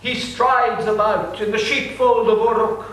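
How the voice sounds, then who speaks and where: A man reciting an ancient epic in a slow, grave, declaiming way. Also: sits high, little pitch movement, a bit bright, recorded from a distance, soft background music. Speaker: reader. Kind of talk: dramatic reading of an ancient epic. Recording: echoey room, voice set back from mic with faint noise.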